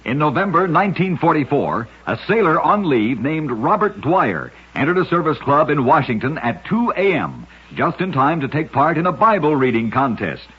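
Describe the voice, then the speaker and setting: A man narrating short scripted stories for radio in a measured, dramatic storyteller style. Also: a little high, breathy edge. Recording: muffled vintage-style radio recording.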